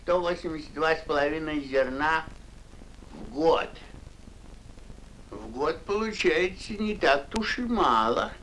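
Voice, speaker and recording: A pompous, miserly old mole in an animated fairy tale. He grumbles slowly and deliberately in a fairly high voice, with big swings in pitch. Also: a little hiss or hum, poor audio. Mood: calculating. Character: stingy, fussy, self-important old male mole.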